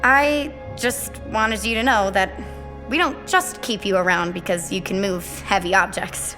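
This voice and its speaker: A woman's voice: medium pitch, a little slow, natural voice. An actress playing a brainy, earnest, decisive teenage girl who leads her friends in an audio adventure drama. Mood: sincere. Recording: echoey hall, music underneath.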